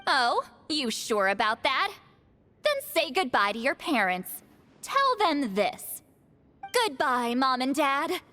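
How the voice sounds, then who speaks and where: An actress playing an imperious, sharp-tongued shaman high priestess in a video game, with a theatrical, commanding manner. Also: sing-song, takes it slowly, soft background music, full character voice. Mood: sardonic, scolding. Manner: solemn incanting, dry sarcastic scolding.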